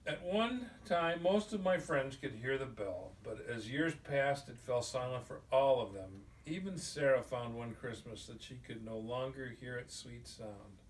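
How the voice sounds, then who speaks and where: A man's voice: medium pitch, animated, a little slow, hardly any pauses. A man reading a children's picture book aloud to family in an informal, amateur way. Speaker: amateur storybook reader. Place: room with listeners, mic at a distance. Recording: distant microphone, noisy room.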